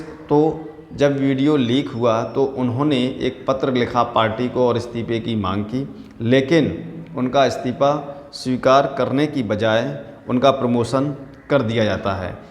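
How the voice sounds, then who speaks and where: A man presenting political news commentary in a deliberate, halting manner. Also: medium pitch. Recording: echoey room with long reverb.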